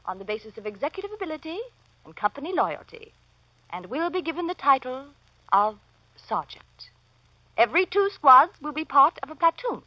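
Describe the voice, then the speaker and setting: An actress playing an officious, self-important office supervisor with formal, speech-making delivery in a vintage radio comedy. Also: female voice, high-pitched, no echo. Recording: muffled, lo-fi old radio recording.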